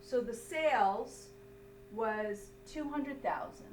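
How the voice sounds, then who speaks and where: A woman's voice: on the high side, takes it slowly. A woman instructor explaining a lesson step by step in an emphatic, didactic way, often checking understanding. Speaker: accounting instructor. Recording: roomy, distant mic, faint hum noise.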